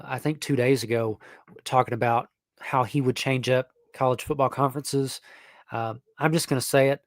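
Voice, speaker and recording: A young man, hosting a sports stream at an even, middle pitch. He rambles along with fillers and restarts. The close mic sounds dry, with no room around it. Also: dry recording. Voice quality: nasal. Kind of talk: casual live-stream talk.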